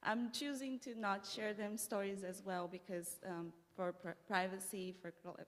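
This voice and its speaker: Brazilian-accented woman, guest speaker, halting delivery, long church reverb. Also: medium pitch, steady tone. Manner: hesitant, many fillers and restarts. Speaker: guest speaker, nonprofit development director. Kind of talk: personal talk at a church service. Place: church sanctuary.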